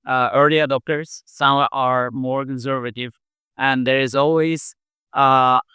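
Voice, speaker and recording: A man who is not a native English speaker, with a bright, crisp voice. He talks in a halting way with frequent pauses, and the sound is dry and close. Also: mid-range voice, fairly even intonation, no room sound. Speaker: marketing head interviewer. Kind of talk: conversational interview.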